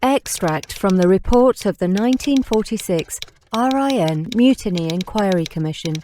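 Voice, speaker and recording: A woman's voice: medium pitch, lively intonation, moderate pace, warm tone, slight background noise. Woman reading an official report. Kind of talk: formal scripted reading.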